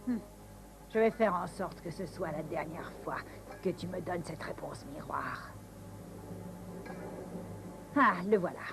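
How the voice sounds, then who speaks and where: A vain, scheming queen from a cartoon. She is menacing and theatrical, speaking slowly and savoring every word over clearly audible music. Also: lowish voice, poor audio. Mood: scheming, gleeful. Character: vain, scheming evil queen, middle-aged woman. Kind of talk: French-language cartoon dub acting.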